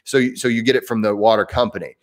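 A man's voice: lowish voice, quick pace. Chatty Midwestern man on a live stream. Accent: southern Indiana Midwestern. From southern Indiana, US. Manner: chatty and animated, frequent self-restarts and laughs, gestures with hands. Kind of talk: casual live-stream talk answering viewer questions. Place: home room, indoors, medium size. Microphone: desk microphone in front, webcam framing.

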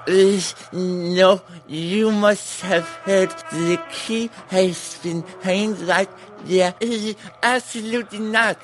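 A man voicing a fussy, evasive animal motel clerk in a video game, halting, hesitant and dismissive. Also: high-pitched, fairly even intonation, over music. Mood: dismissive, distracted. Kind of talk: video game character dialogue.

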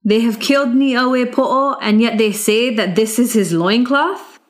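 A woman's voice: fairly high pitch, measured pace, pitched above the performer's own voice, close to an everyday voice. Bold young prince of legend, played by a woman, raised voice, chanting challenges in storybook style. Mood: bold, challenging. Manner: chanting, declamatory calls and questions.

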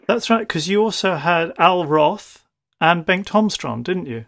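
Casting: British male interviewer, fairly high-pitched with gravelly creak, courteous delivery. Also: lively intonation, a little slow, fairly bright tone. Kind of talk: polite telephone interview.

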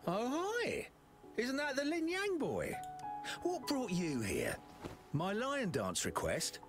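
Jovial shop owner with wildly swooping voice. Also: male voice, extremely high pitch, huge pitch swings, slow, full character voice. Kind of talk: English video game voice acting. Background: music.

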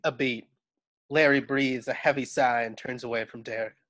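A man's voice: mid-range voice, steady tone, moderate pace, fairly bright tone, slight background noise. A man reading screenplay stage directions aloud as the narrator of a home table read. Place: at home on a video call.

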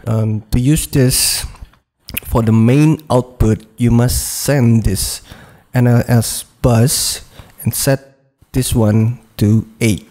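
A man slowly talking through a music production project over a screen recording, with frequent pauses, "uh" and "okay". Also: medium pitch, gravelly, mellow. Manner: slow, hesitant walkthrough with frequent "uh" and "okay".

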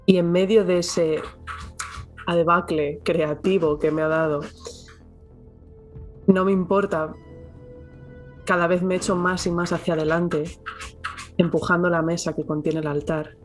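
Woman, flat even pitch, first-person game narration, faint music. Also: unhurried, pauses often. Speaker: tabletop game player.

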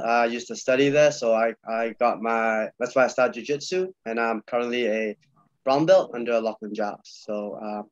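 A man's voice: mid-range voice, dry recording. A young man from Malaysia, chatting loosely as a podcast guest over a video call, full of fillers and repeats. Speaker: podcast guest, jiu-jitsu coach. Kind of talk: casual video-call chat. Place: small room indoors. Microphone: video call webcam. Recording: dull, dry video-call audio.

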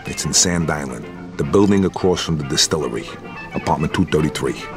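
A man's voice: a bass voice, lively intonation, fairly quick, over music. An actor playing a cool, hard Sicilian-born hitman in a video game, speaking bluntly and matter-of-factly. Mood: brisk, confident. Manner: blunt, profane, matter-of-fact. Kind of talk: video game cutscene acting.

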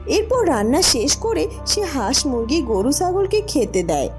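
A woman's voice: sits high, sing-song, unhurried, darkish tone, faint music behind. A woman reading scripted narration for a children's cartoon story.

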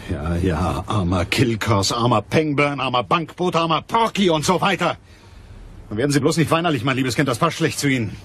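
An actor playing a curt, mocking private detective in a crime radio drama, speaking with a dry manner. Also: male voice, mid-range voice, lively intonation, normal speed, fairly bright tone, breathy edge. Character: hard-boiled, sardonic male private detective. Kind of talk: German crime radio drama acting and narration.

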